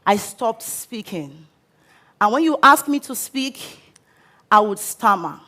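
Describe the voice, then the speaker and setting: A woman with a Nigerian English accent giving an inspirational storytelling talk on stage, expressive and dramatic. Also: mid-range voice, takes it slowly. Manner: expressive, dramatic, acts out quotes. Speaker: speaker. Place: auditorium stage.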